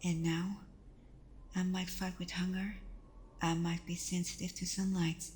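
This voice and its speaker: A woman acts out a vampire girlfriend in an intimate ASMR roleplay, tender and reassuring with a playful, vulnerable side. Her voice is lowish and gently even, often falling to a breathy whisper. She takes her time, over audible background noise. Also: lightly acted. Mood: tender, earnest. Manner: soft, breathy, often dropping into whispers, hesitant pauses.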